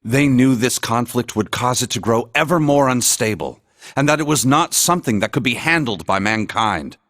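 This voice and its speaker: A man's voice: mid-range voice, lively intonation, moderate pace, a bit bright, no echo, clean studio sound, close to an everyday voice. A man acting in a video game as a grave storyteller who recounts ancient lore in a dramatic way. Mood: grave, portentous. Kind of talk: scripted narration of a legend.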